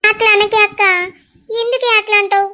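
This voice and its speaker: A woman's voice: very high-pitched, little pitch movement, a little fast, sharp tone. A woman with a Telangana accent acting an affectionate younger sister in a village family drama, with a soothing, pleading way of talking. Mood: pleading, tender.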